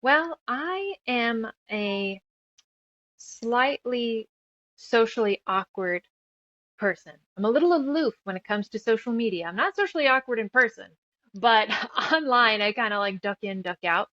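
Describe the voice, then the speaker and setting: A woman's voice: fairly high pitch, animated, a little slow, fairly bright tone, no room sound, dull audio. A woman chatting casually as an interview guest, talkative and self-deprecating. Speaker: guest author and musician.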